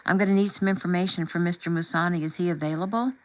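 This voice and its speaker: An earnest, devout woman doctor in a storybook, played by a female reader. She speaks in a slightly low voice and is effusive and encouraging with whoever she addresses. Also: steady tone, quick pace, close to an everyday voice. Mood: businesslike.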